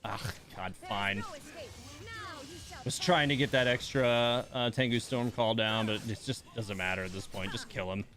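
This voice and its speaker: A man's voice: fairly low pitch, measured pace, crowd noise. A man giving casual live game commentary, thinking aloud in broken, hesitant phrases. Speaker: game livestream host.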